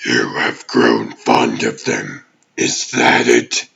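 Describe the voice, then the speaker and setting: A man's voice: fairly even intonation, a bit bright, muffled recording, heavily acted. A man voicing a menacing exiled alien demon warlord in a comic dub, grandiose and vengeful, with a growling, pompous delivery. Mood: coldly persuasive. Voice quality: very deep, gravelly growl. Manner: pompous, slow theatrical villain speech.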